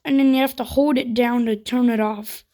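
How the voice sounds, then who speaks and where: High-voiced woman giving a casual product demo. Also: fairly even intonation, moderate pace, heavy background noise. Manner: informal, step-by-step explaining. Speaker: product reviewer.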